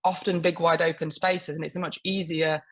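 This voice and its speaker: A woman with a croaky voice from a cold, speaking fluently on a webinar panel about her public affairs work. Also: low voice, little pitch movement, fairly quick. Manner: fluent, corporate explaining. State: hoarse with a cold.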